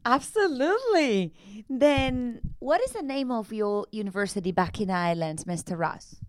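A woman's voice: fairly high pitch, animated, normal speed, no room sound. A woman with a tongue hosting a radio interview, enthusiastic and effusive, sometimes searching for words in her questions. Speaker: radio host.

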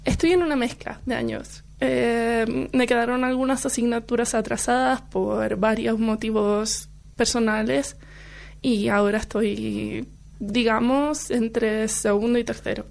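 Young woman radio guest from Chile, relaxed chat, vocal fry. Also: a little high, a little slow. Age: young adult. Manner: chatty, laughs often, fillers. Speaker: guest student. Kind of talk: casual radio interview. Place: radio studio. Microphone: studio headphones and mic.

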